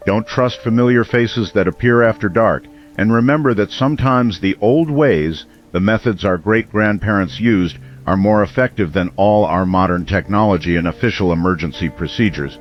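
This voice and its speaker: An actor playing a solemn, earnest late-night small-town radio host in a scripted radio drama. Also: male voice, on the low side, normal speed, hardly any pauses, close to an everyday voice. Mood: grave, concerned. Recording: dull band-limited radio sound, music behind.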